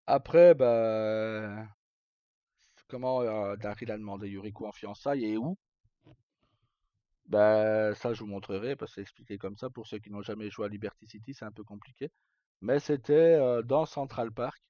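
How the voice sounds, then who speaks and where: A man live streaming, casually telling roleplay stories in a rambling, halting way with pauses mid-sentence. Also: on the low side, dark tone. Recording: slightly muffled, roomy, faint music. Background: background music.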